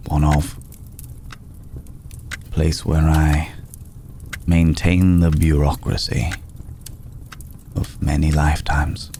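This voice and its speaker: A man playing an ancient, aristocratic male vampire in an ASMR roleplay, formal, courteous and brooding, with a soft, gravelly voice and deliberate pauses. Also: a bass voice, a little hiss or hum, full character voice. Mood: courteous, welcoming. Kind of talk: ASMR roleplay, slow intimate acting.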